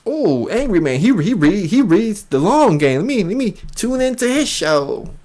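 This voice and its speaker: Mocking man with sweeping, animated pitch. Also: normal speed. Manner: mocking, repeats phrases for emphasis. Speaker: commentary host. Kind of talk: reaction commentary.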